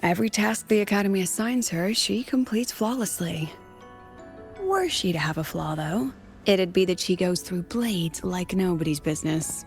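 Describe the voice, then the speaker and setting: Woman voicing a composed, slightly creaky academy colleague. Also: lowish voice, moderate pace, faint music behind, close to an everyday voice. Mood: composed, admiring. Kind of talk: scripted video game dialogue.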